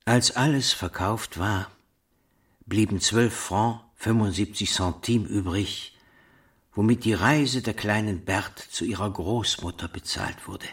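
An actor playing a village chronicler in a radio drama, telling of a sad fate in a deliberate, matter-of-fact way. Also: male voice, fairly low pitch, a bit bright. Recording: faint music under the voice.

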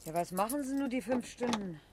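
An actress playing a talkative, brisk small-town station attendant in a colloquial 1970s German radio drama. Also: female voice, on the high side, animated, very noisy, natural voice. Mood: chatty, gossipy. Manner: chatty, folksy, blunt.

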